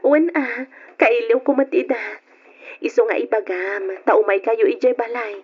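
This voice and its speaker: Radio drama role: affectionate young Ilocano-accented woman, high-pitched, on a dull lo-fi recording. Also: a little slow, breathy edge. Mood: warm, glad. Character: affectionate young woman back from working abroad, longing to marry. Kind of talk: old-time Ilocano radio drama acting.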